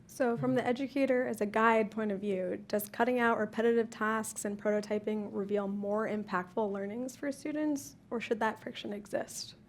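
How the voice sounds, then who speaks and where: A woman's voice: medium pitch, fairly even intonation, normal speed, few pauses, a little hiss or hum. A woman moderating a panel, asking brief, friendly questions. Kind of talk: panel discussion.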